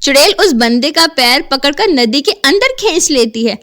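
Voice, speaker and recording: A woman reading scripted narration for an animated horror storybook tale. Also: sits high, normal speed.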